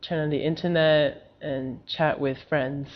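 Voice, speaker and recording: 19 yr old korean girl, speaks english slow n careful, kinda low voice, dull recording. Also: fairly even intonation. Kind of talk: unscripted answers for a learner video.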